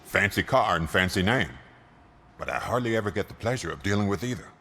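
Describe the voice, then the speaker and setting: A slick, wry mechanic in a video game, low and quick-talking. He is glib and evasive, his forceful voice ringing in a long reverb. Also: lively intonation, a little hiss or hum. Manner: glib, joking.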